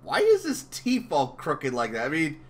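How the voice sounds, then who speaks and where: A man chatting casually as an interviewer, animated and halting in places. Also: fairly high pitch. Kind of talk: casual fan chat.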